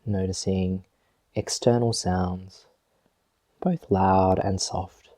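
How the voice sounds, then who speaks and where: Low mellow guy doing a guided meditation, gentle, lots of pauses, really dry close sound. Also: steady tone, dry recording. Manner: gentle, measured, with long pauses. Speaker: meditation teacher.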